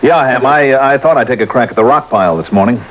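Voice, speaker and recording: An actor playing a cool-headed, wry male insurance investigator in a 1950s radio drama, talking briskly and confidently. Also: medium pitch, slight background noise, lightly acted. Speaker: lead and narrator. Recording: dull old radio broadcast with music.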